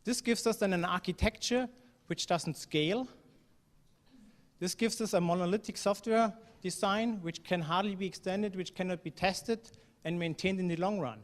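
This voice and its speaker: A man from Germany gives a talk at a conference, his voice a touch higher than most men's. He speaks in a relaxed, conversational way, full of ums and restarts. His voice rings with the long reverb of a large hall. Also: moderate pace. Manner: conversational, fillers, occasional non-native phrasing.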